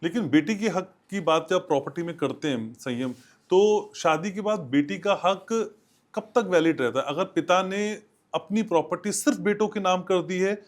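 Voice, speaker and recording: A man's voice: high voice, normal speed. A man hosting a podcast interview, putting long, detailed questions to a guest. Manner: frequent short acknowledgements, long detailed questions. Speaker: podcast host.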